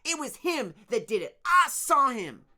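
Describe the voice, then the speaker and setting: A man voicing a skinny, excitable young boy with a shrill, high voice who shrieks accusations. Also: a little slow, full character voice. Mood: frantic.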